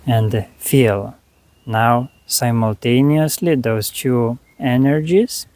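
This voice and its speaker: A man leading a guided meditation, speaking to the listener in short, unhurried phrases with long pauses between them. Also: medium pitch, a little mellow, no room sound.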